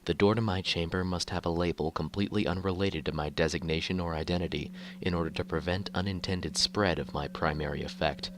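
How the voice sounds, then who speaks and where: Very deep-voiced male horror narrator. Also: fairly even intonation, brisk, hardly any pauses, a little hiss or hum. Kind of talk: scripted horror fiction narration in first person.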